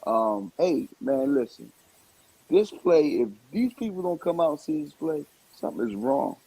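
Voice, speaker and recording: A man chatting casually in an interview, rambling in an animated way and repeating words for emphasis. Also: a little high, normal speed, husky, poor audio. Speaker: stand-up comedian and stage play actor.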